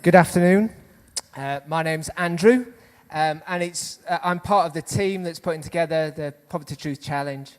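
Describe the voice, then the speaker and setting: A man's voice: on the high side, normal speed, in a large hall. A man hosting a panel at a public event, introducing and questioning his guests in a friendly, hesitant way with many fillers. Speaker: event organiser and panel host.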